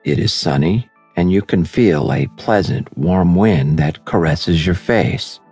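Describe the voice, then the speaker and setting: A man narrating a scripted guided meditation in a soothing, measured, unhurried manner. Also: low voice, darkish tone, husky. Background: background music.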